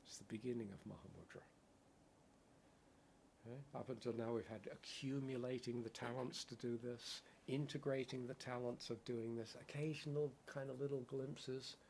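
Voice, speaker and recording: A man's voice: medium pitch, fairly even intonation, normal speed, vocal fry, darkish tone, a little hiss or hum. A man teaching in a contemplative dharma talk. He speaks in poetic phrases and often checks in with "right?". English is his first language. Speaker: Buddhist meditation teacher.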